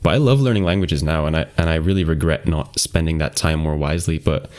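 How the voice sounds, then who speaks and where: A young man hosting a podcast, relaxed and laughing often as he asks follow-up questions. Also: extremely low pitch, fast talker. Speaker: podcast host. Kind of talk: casual interview chat.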